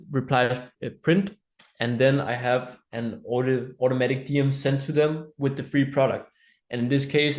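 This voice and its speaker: Danish-accented man teaching an online training. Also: mid-range voice. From Denmark. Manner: informal, explanatory, checks in with listeners. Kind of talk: informal live online training presentation.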